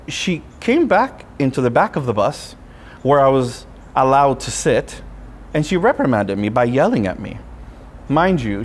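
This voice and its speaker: A man, a Spanish speaker, preaching a sermon as a friar with personal storytelling, in deliberate phrases broken by pauses. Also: medium pitch, a little hiss or hum. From Puerto Rico. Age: 30s.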